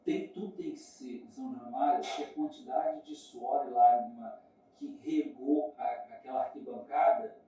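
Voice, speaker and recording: Distant, dark-toned Brazilian man in echoey room. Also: mid-range voice, steady tone, normal speed. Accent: Brazilian Portuguese. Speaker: interviewer. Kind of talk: casual chat, questioning.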